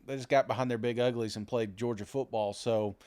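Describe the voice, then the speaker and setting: A man's voice: mid-range voice, steady tone, fairly quick, darkish tone. A man co-hosting a casual sports podcast, talking in a folksy, rambling, self-deprecating way. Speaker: podcast co-host.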